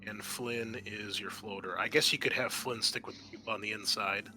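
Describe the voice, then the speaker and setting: Hesitant man with a bright, forceful voice. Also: on the low side, steady tone, moderate pace, faint music behind. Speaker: game master. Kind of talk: casual tabletop game refereeing.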